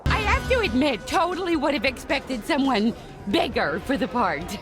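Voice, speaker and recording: A jittery young cartoon assistant. Her high, animated voice chatters anxiously over music and crowd noise. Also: moderate pace. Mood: nervous. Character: nervous chatty young female film assistant. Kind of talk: cartoon game acting. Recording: loud crowd and music behind.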